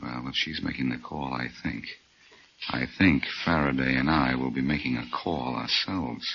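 An actor playing a confident, wisecracking amateur detective in a breezy, wry 1940s radio acting style. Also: male voice, rumbling low, moderate pace, gravelly, very noisy. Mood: confident, scheming. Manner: breezy, self-assured, wry. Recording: muffled 1940s radio recording.

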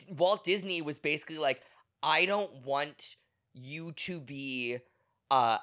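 A man's voice: a little high, sharp tone. A man co-hosting a casual podcast, talking in a loose, rambling way and explaining things at length. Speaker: podcast co-host.

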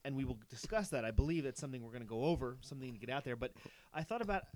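Man around forty, podcast co-host, quick speech, rambling, frequent restarts. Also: medium pitch, lively intonation, background noise. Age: 40s. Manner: rambling, restarts often, laughs between thoughts. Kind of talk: casual chat.

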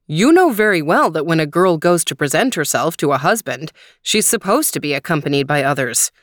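A woman's voice: low voice, very animated, a little fast, dry recording, clean studio sound. A woman voicing a nobleman father in a folktale, formal and admonishing his daughter. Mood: scolding, concerned.

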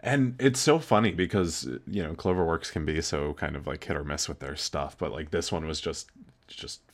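Male co-host, very deep voice, relaxed, filler-heavy delivery. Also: normal speed. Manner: laid-back, chuckling, with filler words. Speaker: podcast co-host. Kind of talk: casual chat.

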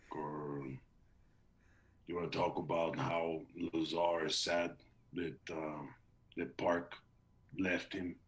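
A man voicing a quiet, deep-voiced male soldier with an Arabic accent, talking in a low, brooding way over a video call. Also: low-quality recording.

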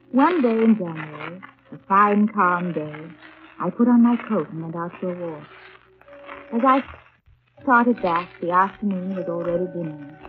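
An actress playing a polite, composed, earnest young governess in a 1930s radio drama, with formal, measured diction. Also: female voice, on the low side, natural voice. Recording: tinny, band-limited 1930s radio broadcast.

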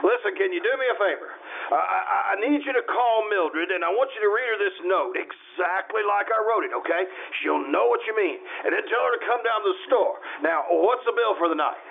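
An actor playing an excitable, hurried small-town store owner in a radio play, flustered and stammering. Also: male voice, sits high, vocal fry. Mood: excited, flustered. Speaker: amateur radio troupe actor. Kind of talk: old-time radio drama acting before an audience. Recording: tinny, noisy, band-limited stage radio-play recording.

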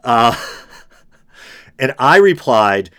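A middle-aged man hosting a podcast alone, talking in a low, droning, semi-gravelly voice, rambling and self-deprecating with many ums and restarts. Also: very animated, extremely slow, a bit bright. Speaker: podcast host. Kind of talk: casual solo podcast monologue.

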